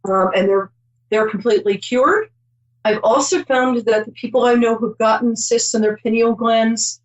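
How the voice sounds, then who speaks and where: A woman's voice: a little high, highs cut off. Chatty, reassuring psychic woman host. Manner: reassuring, chatty, rambling with many ums. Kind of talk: call-in psychic reading.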